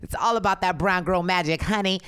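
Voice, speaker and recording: A woman with a raspy voice co-hosting a podcast, chatting bluntly and candidly and slipping into Spanish now and then. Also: fairly low pitch, a little fast, fairly bright tone, no room sound, studio quality. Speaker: podcast co-host. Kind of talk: casual chat.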